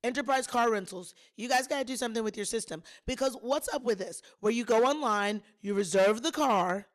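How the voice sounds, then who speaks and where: A woman hosting a podcast, a little hoarse, chatting and telling a story straight to her listeners with plenty of emphasis. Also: a little high, fairly quick. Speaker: podcast host. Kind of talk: casual solo storytelling.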